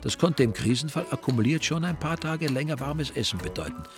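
A man narrating a crime story in scripted literary prose with dry, deadpan irony. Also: medium pitch, a little fast, lightly acted. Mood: deadpan, wry. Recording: music behind the voice.

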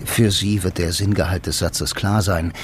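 A man's voice: deep voice, steady tone, moderate pace, background noise, natural voice. An actor playing a blind bank clerk who narrates his own story in a dry, laconic, musing way for a radio drama. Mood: brooding. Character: blind male bank clerk, wry film noir loner.

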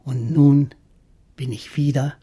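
A lonely older gentleman with poetic pretensions. His voice is husky and dark, and he speaks slowly with sentimental pomp, as in a radio play with soft music behind. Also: mid-range voice, steady tone. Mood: yearning, solemn. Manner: slow, theatrical, pompous. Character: lonely older gentleman, sentimental amateur poet wooing women. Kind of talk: poem recital and dialogue in audio drama.

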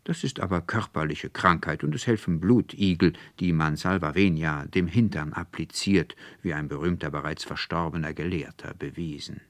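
A man's voice: a bass voice, normal speed, few pauses, dry recording. A man voicing a pedantic, pompous deputy headmaster who lectures, in a storybook reading. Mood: patronising.

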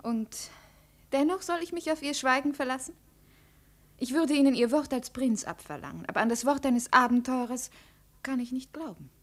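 An actress playing a proud princess, imperious yet wounded, in a theatrical 1950s radio drama style. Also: female voice, sits high, moderate pace. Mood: guarded, hesitant. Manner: theatrical, formal, emotionally heightened.

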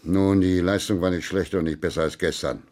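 A man acts a blunt musician in a radio play, passing on gossip with a dry, pointed delivery. The voice sits fairly low, and he is dismissive and impatient with those around him. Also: fairly even intonation, brisk, darkish tone, lightly acted. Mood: dry, needling. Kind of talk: German radio drama acting.

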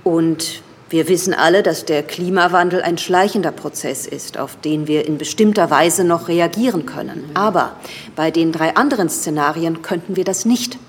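A woman playing a composed professor who gives a formal, measured, didactic briefing in a radio drama. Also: lowish voice, a little hiss or hum, natural voice. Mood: serious, explanatory. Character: composed female professor briefing government ministers.

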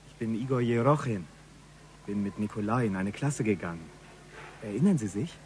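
An actor playing a pushy young gangster in a radio crime drama, loud and insistent in his questioning. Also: lowish voice, expressive, normal speed, a little hiss or hum, lightly acted. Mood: forced politeness.

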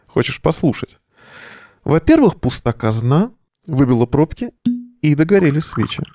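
A man's voice: a little high, gravelly, a little mellow, full character voice. A male actor playing a weary, sardonic bohemian in an audio play, delivering a verse monologue over the phone in an ironic, declamatory way. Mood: wry, brooding.

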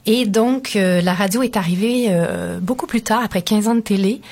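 A middle-aged woman with a Canadian French accent, speaking as a guest on a radio interview. Her voice is husky, set at an ordinary middle pitch. She thinks aloud, pausing on fillers and restarting her sentences. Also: a little mellow. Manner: thoughtful, many hesitations and restarts. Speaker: radio station director, guest.

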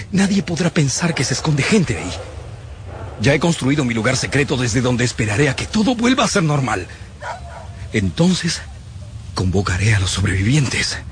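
A man's voice: medium pitch, slight background noise. An actor playing a fanatical, contemptuous male survivor in a radio drama, haranguing and menacing in a declamatory way.

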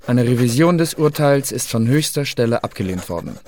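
An actor playing an officious secret service clerk who reads out reports in a formal, clipped way in a German radio drama. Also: male voice, medium pitch, animated, a little fast, darkish tone, a little hiss or hum, close to an everyday voice.

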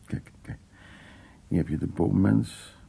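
An older art restorer in a naturalistic radio play, genial and stubborn. His low voice is very husky and full of creak, and he talks in a teasing, informal way that waves worries aside. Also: slow pace, dark tone, heavily acted. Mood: brisk, reassuring. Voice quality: husky, gravelly. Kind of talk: naturalistic radio drama acting.

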